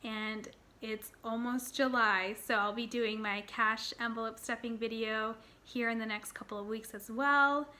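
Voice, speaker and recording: A woman of thirty talking casually to her viewers, excited and rambling, with fillers and restarts. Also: a little high, a little slow, few pauses. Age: 30s. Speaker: personal finance vlogger. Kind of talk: casual talk to camera.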